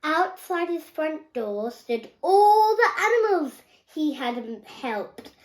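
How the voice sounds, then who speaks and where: A young girl reads a children's picture book aloud, carefully and haltingly, stumbling and correcting herself. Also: high-pitched, big pitch swings, a little mellow, poor audio. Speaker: young reader.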